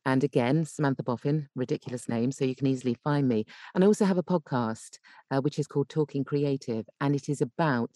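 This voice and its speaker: A woman's voice: extremely low pitch, brisk, warm tone, dry recording, clean studio sound. A woman giving tips as a podcast guest in casual, chatty and emphatic conversation. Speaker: podcast guest, voice actor and coach.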